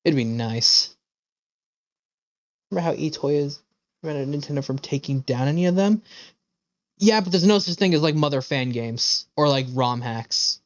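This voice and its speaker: A man's voice: fairly high pitch, lively intonation, normal speed, pauses often, dry recording. Man streaming games, dry, slightly dull sound. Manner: opinionated, profane, rambling. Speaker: video game streamer. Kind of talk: casual live stream chat with viewers.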